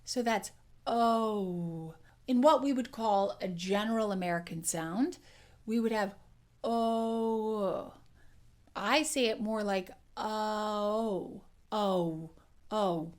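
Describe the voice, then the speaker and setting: A woman dialect coach teaching an accent lesson, explaining clearly and demonstrating sounds. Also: medium pitch, takes it slowly.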